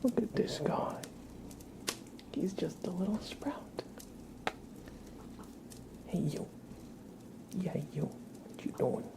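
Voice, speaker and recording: An ASMR livestream host speaks in breathy whispers and soft murmurs, close to the mic. The delivery is gentle and affectionate, with a strong creak in the voice and very varied intonation. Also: mid-range voice, lively intonation, slow, long pauses. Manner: gentle, affectionate cooing at a pet. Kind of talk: live ASMR, whispered and soft-spoken greetings and chat. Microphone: close sensitive microphone.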